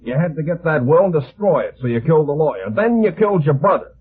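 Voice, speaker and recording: An actor playing a blunt, excitable police lieutenant in a vintage radio detective drama, with brusque, slangy speech. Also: male voice, on the high side, fast, breathy edge. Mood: accusing. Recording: muffled lo-fi old radio recording.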